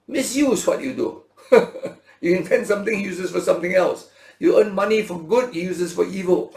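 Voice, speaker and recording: Creaky-voiced man teaching in an echoey room. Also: high-pitched, expressive, normal speed, darkish tone. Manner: rhetorical questions and check-in tags; dramatizes imagined speakers' words in everyday language. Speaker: Bible school teacher. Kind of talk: informal Bible lesson, conversational preaching. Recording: roomy, echoing teaching space.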